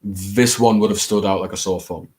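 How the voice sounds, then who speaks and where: Low-voiced Scouse man, halting and chatty. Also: fairly even intonation. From England. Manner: hesitant, halting, self-deprecating, with theatrical flourishes. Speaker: host of a late-night livestream. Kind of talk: casual, rambling live chat with viewers.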